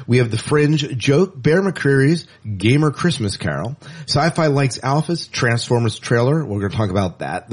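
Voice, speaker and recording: A man's voice: mid-range voice, darkish tone, slightly rough. A man co-hosting a podcast in a casual, chatty style, with wry asides and words repeated for emphasis. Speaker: podcast co-host. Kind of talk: casual show rundown and banter.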